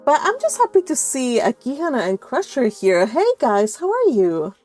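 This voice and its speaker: A woman's voice: high-pitched, very animated, normal speed, a little mellow, dry recording. A chatty, encouraging woman hosting a casual group read-along livestream. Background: music.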